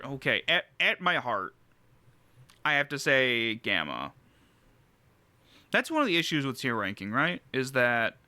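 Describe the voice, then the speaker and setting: A man with a bright, crisp voice talks through game rankings in a casual, unscripted way. He rambles and pauses to find his words, dropping the odd swear. The sound is dry and close. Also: medium pitch, dry recording. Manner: rambling, halting, casually profane. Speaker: video game reviewer and streamer.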